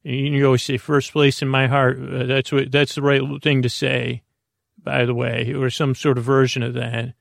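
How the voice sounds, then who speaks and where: A man with a creaky, gravelly voice hosts a sleep podcast. He meanders through a loose monologue, stopping, repeating himself and drifting off on tangents. The sound is close and dry. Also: medium pitch, flat delivery, no room sound. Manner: halting, digressive, repeats and trails off. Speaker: host of a bedtime sleep podcast.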